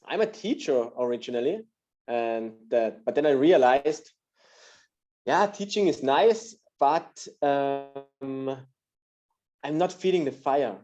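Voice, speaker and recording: A man's voice: mid-range voice, lively intonation, slow pace, a little mellow, muffled recording. A man from Austria with an Austrian German accent, a native German speaker, telling his story on a video call, hesitant with many fillers. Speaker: guest speaker.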